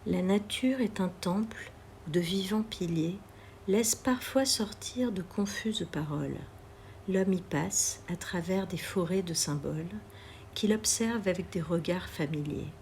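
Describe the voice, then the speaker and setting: Slow, low-pitched woman reading poetry. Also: darkish tone, slight background noise. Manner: measured, deliberate phrasing with pauses. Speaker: poetry reader. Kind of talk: literary poetry reading aloud.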